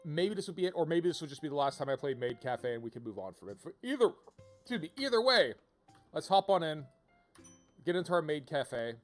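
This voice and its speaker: A man giving casual livestream commentary to viewers, thinking out loud in an informal, rambling way and often rephrasing himself. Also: on the high side, big pitch swings, a little fast. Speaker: video game livestreamer, host. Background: music.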